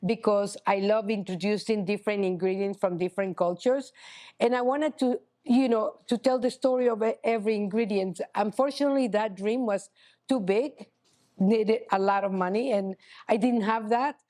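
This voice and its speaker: A middle-aged woman, a chocolatier guest speaking in a casual interview, halting with many restarts and "you know". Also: mid-range voice, mellow. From Honduras. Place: chocolate shop cafe.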